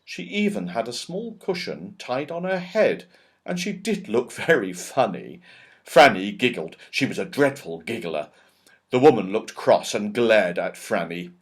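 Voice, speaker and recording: A man narrating a children's story in an expressive, emphatic way. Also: fairly low pitch, measured pace. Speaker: audiobook reader.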